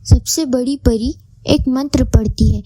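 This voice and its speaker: A woman's voice: sits high, a little slow, mellow, husky, dry recording. A woman narrating a scripted moral bedtime story for children.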